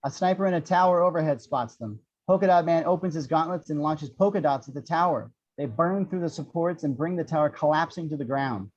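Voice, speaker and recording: Higher-voiced man reading screenplay action. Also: a little fast, hardly any pauses, a little mellow, lo-fi sound. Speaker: narrator reading action descriptions. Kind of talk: scripted screenplay narration at a table read.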